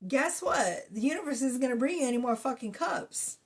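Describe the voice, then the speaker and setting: A woman giving a casual tarot reading to her viewers, blunt and profane in her chatty way, talking through a sore, slightly hoarse throat. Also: sits high, animated, fairly quick. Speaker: tarot reader.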